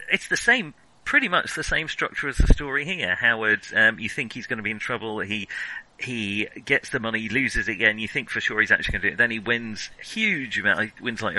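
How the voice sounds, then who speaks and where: Bright, forceful man talking film. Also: lowish voice, rough recording. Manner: opinionated, analytical, wry. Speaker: film podcast co-host. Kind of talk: casual film discussion.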